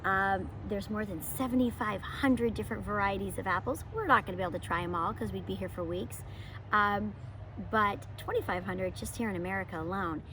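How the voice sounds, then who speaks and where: A woman presenting a children's lesson and picture book read-aloud as a homeschool teacher, with expressive, emphatic delivery aimed at young children. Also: medium pitch, brisk, slight background noise, low-quality recording.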